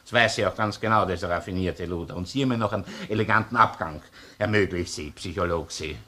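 Gruff low-voiced Viennese chief inspector. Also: male voice, fast, sharp tone. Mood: irritated, sardonic. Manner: sardonic, interrogating, hesitant muttering between questions. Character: gruff, shrewd senior male police chief inspector. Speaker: actor in a crime drama. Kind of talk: naturalistic crime radio or TV drama acting.

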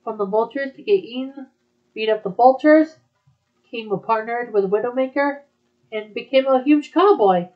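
A woman's voice: a little high, normal speed. A woman talking in a casual back-and-forth conversation. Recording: dull, muffled lo-fi audio.

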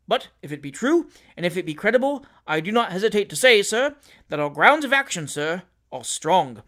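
A man's voice: high-pitched, fairly bright tone. A man voicing a pompous, taunting Victorian lawyer in an audiobook, with emphatic oratorical delivery and false politeness. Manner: oratorical, emphatic, sternly self-important.

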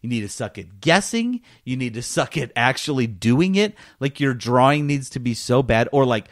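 A man's voice: mid-range voice, very animated, moderate pace. Casual male gaming podcast co-host. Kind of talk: casual joking gaming chat.

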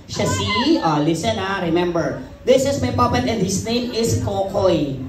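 A man's voice: high-pitched, animated, a little slow. Echoey, distant Filipino man entertaining a crowd. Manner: instructing, switches between Tagalog and English. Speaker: ventriloquist and children's party entertainer. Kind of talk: live puppet show patter with audience. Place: decorated indoor party venue with audience. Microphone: handheld mic on PA, filmed by audience phone. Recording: roomy party hall PA, distant phone, noisy crowd.

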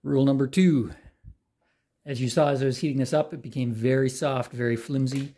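Mellow, husky-voiced man demonstrating a repair. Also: mid-range voice, a little fast. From Canada. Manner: relaxed, plain-spoken, talks while working. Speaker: DIY channel host. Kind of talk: hands-on how-to demonstration. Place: garage workshop.

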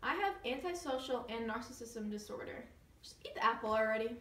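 A woman's voice: a little high, animated, a little fast, a bit bright. A woman playing a vain, scheming evil queen, sweetly menacing and coldly dismissive, in an amateur fairy tale comedy skit. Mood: dismissive, impatient. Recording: echoey room, distant mic, faint noise.

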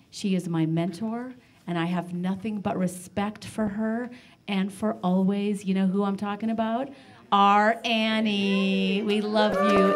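A woman hosts a live stage broadcast in an effusive, playful way. She takes her time over her words, in a medium-pitched voice. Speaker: radio show host. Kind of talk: live hosting to an audience. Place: live broadcast in library meeting room. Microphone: stage microphone.

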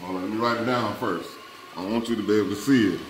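A man's voice: fairly low pitch, fast talker, noisy background. A middle-aged man hosting a giveaway as a barber, chatting casually and playfully with customers and often repeating himself. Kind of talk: casual vlog chat with customers. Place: barbershop, indoors. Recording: echoey room with shop chatter.

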